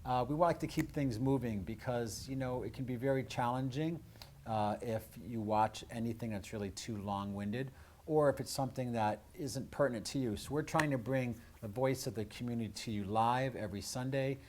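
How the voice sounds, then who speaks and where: A man's voice: medium pitch, normal speed, a little mellow, slight background noise. A man hosting a live community show in a conversational, hesitant, rambling way. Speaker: radio show host.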